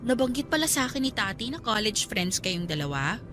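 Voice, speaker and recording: A woman's voice: medium pitch, very animated, a little fast, over music, lightly acted. A woman playing a guarded, skeptical young single teacher in a Tagalog radio drama, talking in a conversational way. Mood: bashful, polite.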